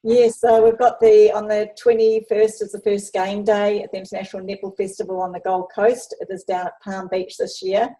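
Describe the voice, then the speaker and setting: Woman, New Zealand accent, interview host, mellow, chatty. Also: medium pitch, little pitch movement, brisk, few pauses, low-quality recording. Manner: chatty, frequent ums. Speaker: host presenting a netball travel program. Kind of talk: presentation interview with slides.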